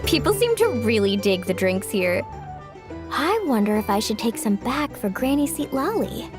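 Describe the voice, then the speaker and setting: A woman voicing an unhurried, laid-back young woman in an anime game. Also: fairly high pitch, sing-song. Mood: musing. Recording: music behind the voice.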